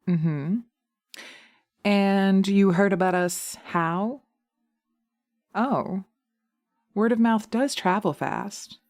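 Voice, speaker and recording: Woman acting as a brash witch, mid-pitched, slow-talking, blunt, exasperated, sarcastic, audio roleplay. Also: darkish tone. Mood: brusque. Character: brash, sarcastic young witch fed up with men.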